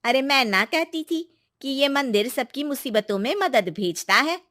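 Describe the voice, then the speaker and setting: A woman's voice: high voice, lively intonation, a little slow, a bit bright, no echo, clean studio sound. A woman voicing a kindly, secretive young village woman in a cartoon, speaking in an emphatic, reassuring, colloquial way. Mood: evasive. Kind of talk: Hindi moral-story cartoon dialogue.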